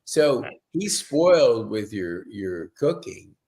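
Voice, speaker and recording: A man's voice: mid-range voice, very animated, takes it slowly, darkish tone. A middle-aged man hosting a casual podcast interview, effusive and rambling, with stop-and-start questions. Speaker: podcast host.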